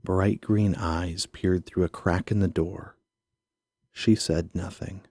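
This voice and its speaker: A man reading scripted first-person audio drama narration in a brooding, measured manner. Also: sits low, steady tone, a little mellow, no echo, studio quality.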